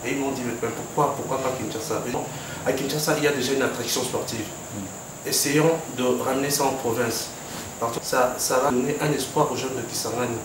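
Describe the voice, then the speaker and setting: A man's voice: medium pitch, steady tone, brisk. A man, the organizer of a project, speaking earnestly at a formal meeting with frequent fillers. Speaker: organizer of a football academy project. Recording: very echoey room, mic far off, background noise.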